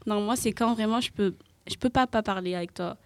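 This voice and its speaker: A teenage girl speaking casually, rushing through her thoughts with frequent fillers and restarts. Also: medium pitch, dry recording. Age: teens. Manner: quick, hesitant, many fillers. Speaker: teen guest. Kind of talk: casual discussion.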